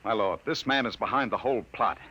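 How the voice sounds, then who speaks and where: An indignant doctor in a vintage science fiction radio play, racing through his lines with insistent urgency. The voice is husky and bright, swinging with emotion. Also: fairly low pitch, fast, lo-fi sound. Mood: urgent, pleading. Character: urgent, indignant male doctor, proud galactic citizen. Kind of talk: 1950s radio drama acting.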